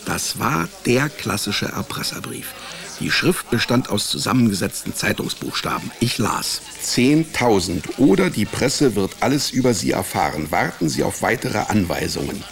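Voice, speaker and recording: An actor playing a wry, down-on-his-luck private detective who narrates a noir radio drama in a laconic, deadpan way. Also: fairly low pitch, moderate pace, gravelly. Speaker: narrator and lead character.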